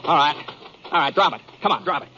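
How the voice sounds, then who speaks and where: A man's voice: fairly high pitch, animated, fast talker, bright, forceful tone, slight background noise. An actor playing an easygoing, folksy cowboy hero who tells his tale with a hesitant drawl in a 1950s radio western. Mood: commanding. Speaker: lead actor and narrator. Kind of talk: 1950s radio western acting, first-person storytelling. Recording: dull 1950s radio recording, music behind.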